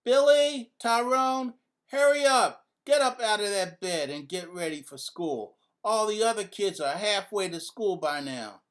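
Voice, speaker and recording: Man playing a loud, high-pitched scolding mother. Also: unhurried. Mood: exasperated. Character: upset, bossy mother scolding her young sons. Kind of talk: children's storybook reading.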